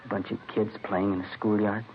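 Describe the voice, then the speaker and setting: An actor playing a resigned, brooding murderer in a 1950s radio drama, musing quietly and fatalistically. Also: male voice, lowish voice, flat delivery, a little fast, natural voice. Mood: brooding, wistful. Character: resigned, brooding male murderer giving himself up. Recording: dull, noisy old radio recording.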